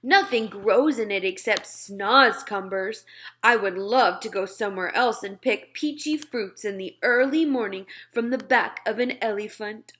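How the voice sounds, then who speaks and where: A woman reading aloud puts on a gentle storybook giant, a dreamy old fellow who guards his secrets. He talks in muddled grammar full of whimsical invented words, leaning heavily on them. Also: medium pitch, measured pace, close to an everyday voice. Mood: dreamy, wistful. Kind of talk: children's storybook read-aloud.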